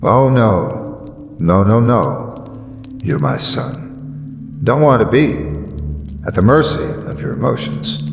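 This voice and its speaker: A man reading motivational sayings in a solemn, deliberate and emphatic way, with weighty pauses, like a dramatic speech. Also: medium pitch, animated. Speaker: narrator of motivational quotes. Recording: echoey long reverb over music. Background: background music.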